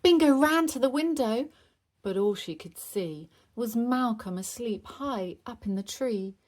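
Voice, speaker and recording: A woman's voice: a little high, expressive, measured pace, a little mellow. A woman reading a rhyming children's story aloud with expression. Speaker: storybook reader.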